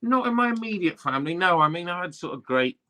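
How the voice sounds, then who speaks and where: Laid-back Welsh man, bright voice. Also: sits high, big pitch swings, fast. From Wales. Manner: laid-back, rambling, lots of "you know what I mean". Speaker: tarot reader guest. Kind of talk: casual interview chat.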